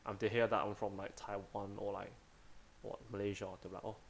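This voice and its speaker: A young man co-hosting a podcast, chatting in a relaxed, conversational way. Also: fairly low pitch, fairly quick, creaky, a little hiss or hum. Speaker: podcast co-host. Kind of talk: casual chat.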